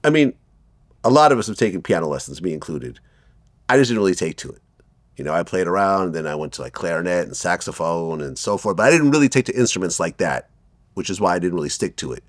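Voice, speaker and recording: A man's voice: sits low, big pitch swings, quick pace, no echo, clean studio sound. A middle-aged man hosting an interview, asking friendly conversational questions. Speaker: interview host.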